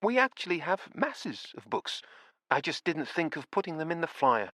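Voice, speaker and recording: A man reading an audiobook, voicing a sweet, genteel elderly landlady in a high, fluttering voice. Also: huge pitch swings, normal speed, fairly bright tone, no room sound, exaggerated voice. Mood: surprised, gushing.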